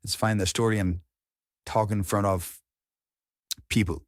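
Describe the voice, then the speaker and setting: A man with an Indian accent giving an informal instructional talk in an earnest, halting way, repeating words for emphasis. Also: fairly low pitch, fairly even intonation, no room sound, studio quality. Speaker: public speaking coach.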